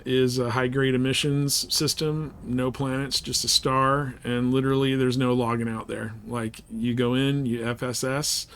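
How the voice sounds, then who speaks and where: Casting: male game streamer, mid-pitched voice, laid-back and slangy, loose rambling live commentary. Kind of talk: casual live gameplay chat and commentary.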